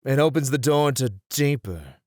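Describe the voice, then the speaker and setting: A man playing a suave, playfully formal etiquette tutor in an ASMR roleplay, with theatrical, deliberate, flowery phrasing. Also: medium pitch, lively intonation, darkish tone, dry recording, studio quality, natural voice. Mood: teasing, encouraging.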